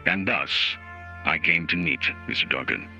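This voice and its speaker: A man voicing a grave, literary judge in a formal, measured, theatrical dramatic reading of a court opinion. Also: a bass voice, animated, creaky, pushed and bright, dull audio, pitched below the performer's own voice, exaggerated voice. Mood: wry, storytelling. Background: music.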